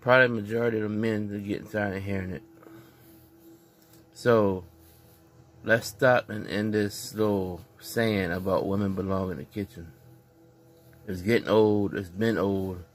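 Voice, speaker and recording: A man's voice: on the low side, steady tone, normal speed, long pauses. A man vlogging casually on a phone in a dim living room, earnest and preachy, repeating his points. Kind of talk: casual opinion vlog.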